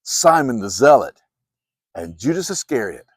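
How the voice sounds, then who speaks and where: A man narrates scripture like a dramatic story. His pitch is mid-range and moves widely, with clear phrasing. The sound is dry, with hardly any room. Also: very animated, normal speed, no room sound. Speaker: Bible narrator.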